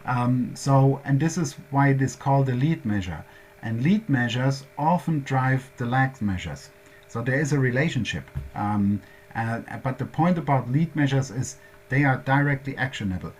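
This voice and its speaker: A man's voice: medium pitch, animated, normal speed. German-accented male presenter, mid-range voice, methodical explainer, slight background noise. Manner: methodical, explanatory, walks through concepts step by step. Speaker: workshop presenter. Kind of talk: workshop presentation.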